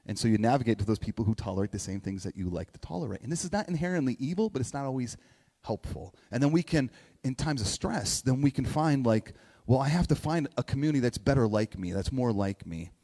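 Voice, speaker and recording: A man's voice: mid-range voice, expressive, mellow. Man preaching informally in an echoey hall. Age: 40s. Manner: halting, repeats phrases, checks in with "right?". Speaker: pastor. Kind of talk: informal conversational sermon. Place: large reverberant indoor room, church gathering. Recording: echoey hall with long reverb.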